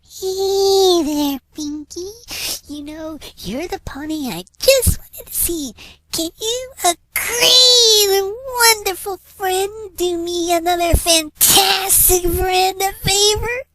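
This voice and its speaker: A woman's voice: extremely high pitch, slow, a bit bright, a little hiss or hum, exaggerated voice. A woman voicing a frantic, unhinged young unicorn pony with a manic, over-sweet, dramatic delivery in a fan fiction reading. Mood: manic cheer. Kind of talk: fan fiction audio reading, cartoon character acting.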